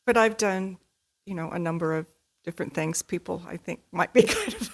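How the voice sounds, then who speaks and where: A woman's voice: lowish voice, very animated, a little fast. An older woman giving a casual interview as a guest, playful and self-deprecating in how she talks. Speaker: amateur community theater actor, interview guest.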